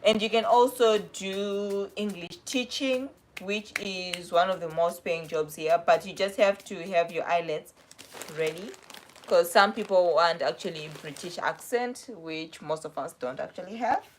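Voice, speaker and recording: A young woman with a South African accent talks through viewer questions on a casual livestream. Her voice sits a little low, and she rambles and corrects herself as she goes. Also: a little slow, a little hiss or hum. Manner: chatty, rambling, self-correcting. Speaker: livestream host.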